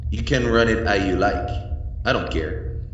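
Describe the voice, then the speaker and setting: A man's voice: on the low side, unhurried, close to an everyday voice. Man playing a pompous scheming tycoon, cavernous and muffled. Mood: smooth, persuasive. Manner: pompous, theatrical, condescending. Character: arrogant, scheming rich mine owner, male. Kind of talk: retro radio horror drama acting. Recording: muffled, echoing, distant cave-like sound with noise.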